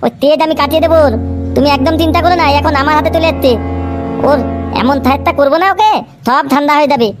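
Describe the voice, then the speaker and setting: Woman as a very high-pitched, cocky cartoon lad. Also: quick pace, music in the background, lightly acted. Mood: boastful. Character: cocky young grandson, boastful but cowardly. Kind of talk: Bengali comedy cartoon dialogue.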